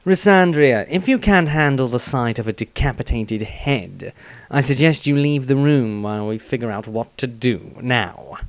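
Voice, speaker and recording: A man's voice: mid-range voice, expressive, moderate pace, no echo, lightly acted. A man voicing a cold, clinical male warrior who talks in a curt, sneering way in a storybook reading.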